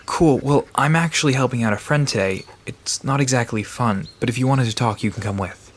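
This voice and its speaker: A man's voice: medium pitch, fairly quick, a little hiss or hum, lightly acted. A man playing a friendly, nerdy guide to mythical creatures in an audio drama, explaining lore eagerly with hesitant asides. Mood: friendly, casual. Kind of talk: conversational audio drama dialogue.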